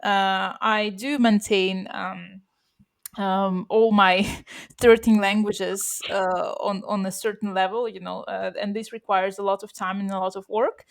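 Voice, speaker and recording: A woman's voice: mid-range voice, level tone, measured pace, vocal fry. Chatty bilingual woman podcaster. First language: Russian, bilingual from birth. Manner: chatty, many fillers and restarts, laughs often. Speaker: podcast co-host. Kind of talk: casual podcast chat.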